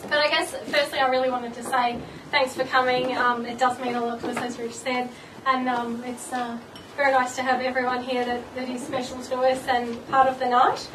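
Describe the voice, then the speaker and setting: A nervous, giggly woman with a slightly croaky voice, reading her engagement speech and a rhyming poem. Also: sits high, flat delivery, moderate pace, background noise. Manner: nervous, giggly, self-deprecating. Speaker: bride-to-be giving engagement speech. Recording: distant, echoey mic with loud party ambience.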